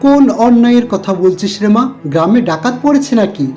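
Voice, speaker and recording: Mellow, dark-toned village headman acted by a woman. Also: a little high, animated, normal speed, soft background music, lightly acted. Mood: concerned. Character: village headman, elderly uncle, mildly impatient.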